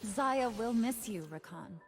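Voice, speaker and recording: Video game fox woman, centuries old,: higher register, very expressive pitch, teasing yet tender. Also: measured pace. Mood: tender, wistful. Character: ancient nine-tailed fox woman, playful yet wistful. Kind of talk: scripted video game voice lines. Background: music.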